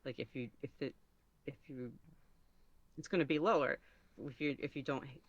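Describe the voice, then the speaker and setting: A man's voice: medium pitch, moderate pace, no echo, dull audio. A man speaking casually as an interview guest, rambling and somewhat self-conscious as he goes. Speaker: podcast guest, ASMR roleplay creator.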